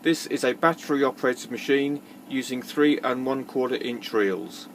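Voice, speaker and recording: A man giving a measured, matter-of-fact technical demonstration, as if presenting equipment from a script. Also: mid-range voice, a little hiss or hum.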